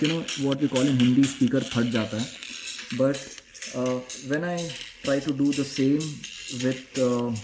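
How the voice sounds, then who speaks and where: A man with an Indian accent talking casually through a product comparison, explaining things in a hesitant way. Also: mid-range voice. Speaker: vlogger reviewing motorcycle gear. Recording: echoey room with some background noise.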